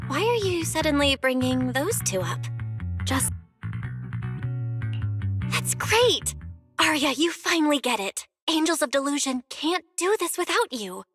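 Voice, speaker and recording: A young woman from an anime-style video game, friendly and earnest. Her voice sits high and moves around a lot in conversational, expressive lines. Music plays underneath. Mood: upbeat, delighted. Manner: expressive, animated, conversational delivery.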